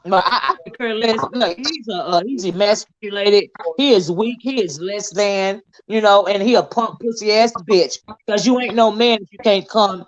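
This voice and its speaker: A middle-aged woman holds forth on a livestream, blunt and profane, hammering each point with curses. Her voice sits at a middling pitch. The audio is dull and lo-fi. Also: normal speed, few pauses. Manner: profane, blunt and combative, emphatic cursing. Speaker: livestream host. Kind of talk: heated livestream commentary.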